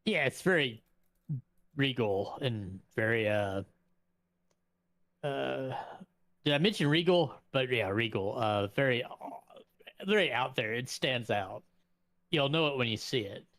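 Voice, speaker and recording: A man voicing a pious old male vampire, fussy and flattering, who stammers and repeats words in a tabletop game. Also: mid-range voice, unhurried, long pauses, dry recording. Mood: fumbling, fussy.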